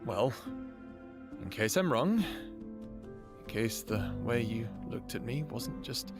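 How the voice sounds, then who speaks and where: An earnest, lovestruck human in an audio drama. He speaks very slowly and haltingly, with a tender sincerity. Music plays clearly behind his voice. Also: medium pitch, very animated. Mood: shy, yearning. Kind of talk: first-person audio drama acting and narration.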